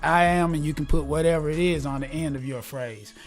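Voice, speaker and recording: A man in his forties, talking casually and earnestly in an interview, upbeat and a bit preachy, and quick to laugh. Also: on the high side, soft background music. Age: 40s. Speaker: guest, gospel rap artist. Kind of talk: casual conversation.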